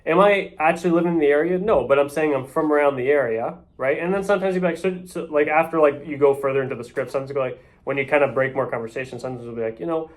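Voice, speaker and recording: A man's voice: on the high side, racing, few pauses. A man who works as a real estate agent, coaching others on door knocking in a loose, off-the-cuff way and often tagging his points with "right?". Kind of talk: casual sales coaching talk.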